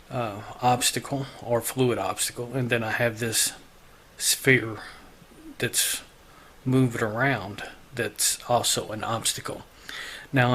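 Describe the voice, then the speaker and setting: A man with a weak voice that is starting to give out, casually talking through a software tutorial in an informal, self-deprecating way. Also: medium pitch, a little hiss or hum. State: weak voice, losing his voice. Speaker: tutorial host. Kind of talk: casual screen-recorded software walkthrough.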